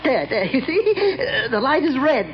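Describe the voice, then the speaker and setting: A man's voice: normal speed, over loud music, full character voice. A man playing a deranged, fearful, childlike bomber with a stammering, giggling delivery in a 1930s radio thriller. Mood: excited, scheming. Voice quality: high-pitched, giggling. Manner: stammering, excitable, repeating words. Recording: muffled, very noisy 1930s radio recording.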